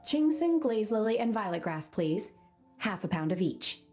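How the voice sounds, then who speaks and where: A woman voicing an aloof, solemn young woman in a video game, with formal, archaic wording and deadpan detachment. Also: mid-range voice, moderate pace, soft background music, lightly acted. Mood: detached, solemn. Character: aloof, solemn young woman raised among mountain immortals. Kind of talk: video game character acting.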